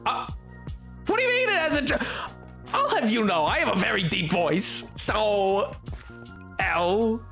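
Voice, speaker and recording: A man's voice: sits high, sing-song, measured pace, vocal fry, long pauses, bright, forceful tone, faint music behind. A man streaming and chatting casually with viewers. He talks playfully, rambling with lots of fillers and restarts. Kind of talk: casual live-stream chat, answering viewers.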